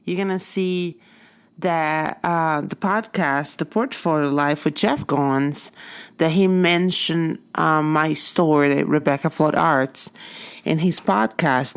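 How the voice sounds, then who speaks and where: Slow-talking Portuguese-native woman host. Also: low-pitched. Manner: chatty, warm storytelling with self-corrections. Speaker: podcast host. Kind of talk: casual motivational monologue.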